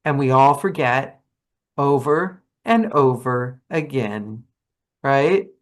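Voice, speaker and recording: A woman hosting a podcast, chatting animatedly with her guest, with quick asides and self-corrections. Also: extremely low pitch, expressive. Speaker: podcast host. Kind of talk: casual interview chat.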